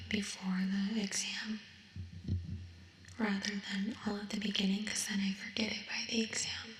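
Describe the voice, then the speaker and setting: Slow whispering young woman with echo. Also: mid-range voice. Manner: whispered, hushed and unhurried. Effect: echo sound effect. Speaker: ASMR creator. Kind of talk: ASMR, reading study questions aloud. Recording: echoing whisper recording.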